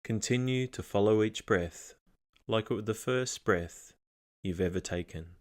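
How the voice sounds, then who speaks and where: Male meditation guide, deep register, gentle and measured scripted narration. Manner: calm, measured, gently instructing.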